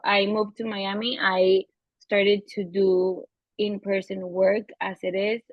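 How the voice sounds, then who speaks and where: A woman's voice: mid-range voice, level tone, takes it slowly, no room sound. Brief: young Venezuelan woman, native Spanish, searches for words, thoughtful, dry and slightly muffled recording. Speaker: guest therapist. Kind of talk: casual interview. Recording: slightly dull lo-fi audio.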